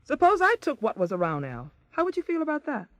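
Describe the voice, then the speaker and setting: A woman's voice: high-pitched, huge pitch swings, fast talker, dry recording. An actress playing a hurt, assertive wife confronting her husband in a radio drama, pointed and moving between sarcasm and earnestness. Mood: needling. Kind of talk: 1980s radio drama acting.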